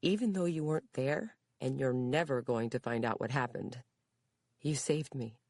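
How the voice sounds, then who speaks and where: A woman's voice: very deep voice, normal speed, a little mellow, no echo. A woman reading aloud, voicing an adult daughter who tearfully reassures her mother. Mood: earnest. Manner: emotional, reassuring.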